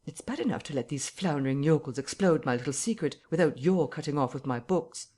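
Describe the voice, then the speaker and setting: A menacing unseen man, as a reader puts him on, clipped and impatient. He threatens with quiet emphasis and curt commands. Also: mid-range voice, sing-song, normal speed, slightly rough.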